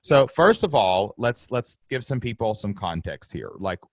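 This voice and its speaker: A man with a Southern American accent hosting an interview podcast in an animated, emphatic way and laughing often. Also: lowish voice, unhurried, dry recording. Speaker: podcast host. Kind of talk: casual interview chat.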